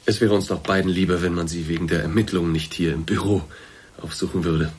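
Cautious concerned office boss, low and very husky, formal radio drama talk. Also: male voice, steady tone. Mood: concerned, discreet. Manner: formal, measured, insistent. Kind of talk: naturalistic German radio drama.

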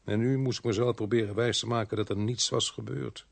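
An actor playing a sober, dutiful police inspector in a radio drama, speaking in a measured, formal manner. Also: male voice, lowish voice, fairly even intonation, vocal fry. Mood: shaken. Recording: slightly noisy old radio drama recording.

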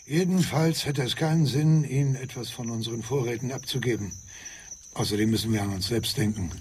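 Male actor doing calm practical colleague in radio play, mid voice, faint night insects behind. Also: mid-range voice, brisk, a little hiss or hum, close to an everyday voice. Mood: matter-of-fact. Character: matter-of-fact, pragmatic male station colleague. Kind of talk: German radio drama acting.

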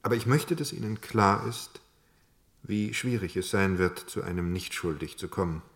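Matter-of-fact defence lawyer in a radio crime drama, low voice, measured, keeps questioning, no sugarcoating. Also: male voice, a bit bright. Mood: probing. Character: sober, pragmatic male defence lawyer, frank and probing. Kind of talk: German crime radio play acting.

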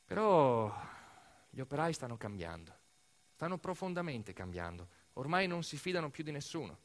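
A man performing a narrative theatre monologue as a storyteller, emphatic and dramatic, swinging between hushed and raised delivery. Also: fairly high pitch, sing-song, normal speed. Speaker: stage actor narrating a monologue.

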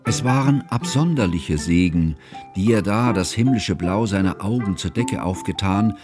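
A man playing a devoted, learned friend who recalls events as narrator of a radio play, in measured, formal, ornate phrasing. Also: fairly low pitch, lightly acted. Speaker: first-person narrator.

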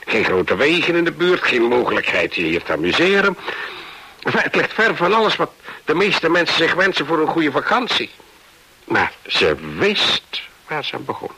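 An actor in a radio play voicing a folksy, evasive fishing warden, husky, hesitant and rambling, eager to please. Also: male voice, medium pitch, big pitch swings, moderate pace, sharp tone, full character voice, low-quality recording. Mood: musing, amused. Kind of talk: radio drama, dialogue and chatty monologue.

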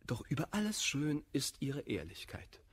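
An actor playing a proud, wounded idealist in love, declaiming classical German verse in a radio drama. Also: male voice, a little high, big pitch swings, breathy edge. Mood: solemn, rueful. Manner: declamatory verse delivery, measured and emphatic.

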